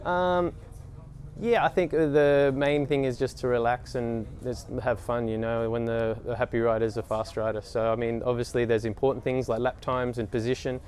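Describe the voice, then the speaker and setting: A man in his thirties, a race mechanic talking casually in an interview, with many pauses and restarts. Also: medium pitch, little pitch movement, darkish tone. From Australia. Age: 30s. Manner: hesitant, many ums and restarts.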